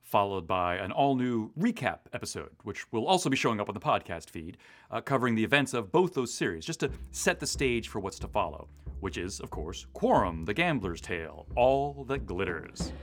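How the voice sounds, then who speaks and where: An American man speaks as a podcast co-host in a conversational style. His pitch moves around freely, giving his explanations a lively contour. He is articulate and sets things out clearly. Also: normal speed. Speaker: podcast co-host and audio drama writer.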